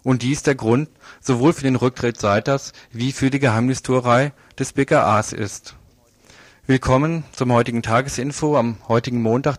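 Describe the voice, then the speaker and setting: A man presents a live radio news magazine. He has a medium-pitched voice and speaks partly from a script, partly freely. The sound is close and dry. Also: no room sound.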